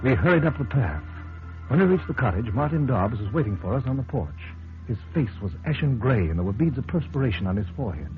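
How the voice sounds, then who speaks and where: An actor playing a courteous, formal mystery storyteller in an old-time radio drama, with measured theatrical delivery. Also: male voice, mid-range voice, very animated, soft background music, lightly acted. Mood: grave, suspenseful. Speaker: host and storyteller. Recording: tinny, hissy old radio recording.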